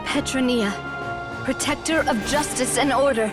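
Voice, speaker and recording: A woman playing a solemn, gentle young heroine in an anime game, making earnest, declamatory appeals. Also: sits high, steady tone, moderate pace, natural voice. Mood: earnest, pleading. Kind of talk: dramatic anime game acting. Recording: voice under loud music.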